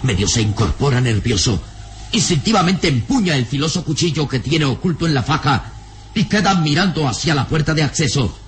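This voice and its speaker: An actor as the storyteller of an adventure radio serial, narrating in a theatrical, suspenseful, carefully enunciated way. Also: male voice, a little high, expressive, brisk, a little hiss or hum, close to an everyday voice. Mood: tense.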